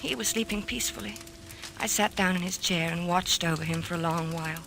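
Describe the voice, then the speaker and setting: An actress playing a worried, pleading wife in an old-time radio mystery, with dramatic, earnest delivery. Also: female voice, lowish voice, a little fast, background noise, lightly acted. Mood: somber narration. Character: worried, pleading wife recalling her husband's strange death.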